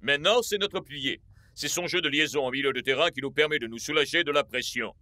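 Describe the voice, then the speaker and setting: A man's voice: a little high, fast, bright, forceful tone, no echo. A man playing an earnest, protective football coach in a French cartoon dub, speaking in a serious, instructive way. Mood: assured.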